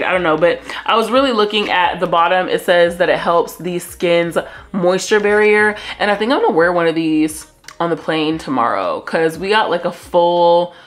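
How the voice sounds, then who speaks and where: A young woman in her twenties chats through a haul in a hotel room at night, and her voice sits a little low. She talks loosely, with plenty of fillers, and keeps calling her viewers y'all. The camera sits close in front of her on the desk. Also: a bit bright. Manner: chatty, filler-heavy, addresses viewers as y'all. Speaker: vlogger. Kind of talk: casual haul chat to camera.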